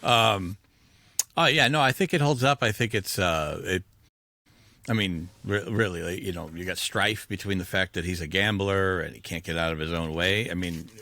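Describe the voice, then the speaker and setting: A man with a fairly low, very bright and forceful voice, recorded dry and close. He co-hosts a casual film discussion, rambling along and often breaking off to restart his thought. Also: normal speed, no echo. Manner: rambling, self-interrupting. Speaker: podcast co-host.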